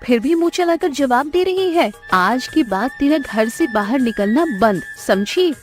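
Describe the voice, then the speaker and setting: A woman's voice: high voice, lively intonation, measured pace. A woman voicing a strict, nagging village mother who scolds, in a cartoon story. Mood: scolding. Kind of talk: Hindi cartoon story dialogue. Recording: music under the voice.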